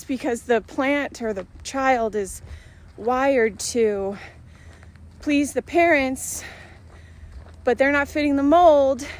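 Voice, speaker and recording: A middle-aged woman chatting casually and in a rambling, giggly way as she films a vlog. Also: on the high side. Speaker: vlogger. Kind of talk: casual chat to camera.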